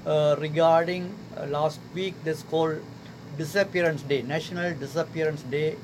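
A man in his sixties from Sri Lanka talks in earnest, halting English, his voice sitting a little high. He speaks as a guest on a radio show. Air conditioning hums clearly in the background of the studio recording. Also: steady tone, a little hiss or hum, rough recording. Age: 60s. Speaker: guest artist. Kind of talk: casual radio interview.

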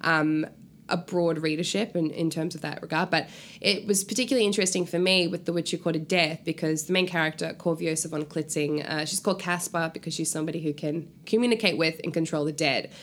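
A woman's voice: sits low, fairly quick. A woman speaking casually and informally as a guest author in an interview. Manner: animated, informal, frequent "like" and swearing.

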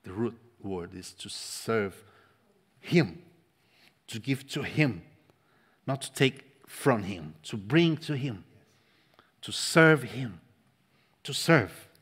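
A man whose first language is Portuguese, preaching a sermon with passion, swinging between loud stresses and hushed lines and repeating words for emphasis. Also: mid-range voice, animated, slow, long pauses, husky. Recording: long reverb of a large hall.

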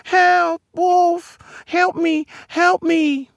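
A man voicing a small, frightened and taunting little girl creature in a dark fairy tale, childlike and prone to pleading and wailing. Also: a little high, sing-song, unhurried, creaky, no echo, clean studio sound, voice pushed up, heavily acted. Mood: terrified wailing. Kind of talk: dramatized storybook narration.

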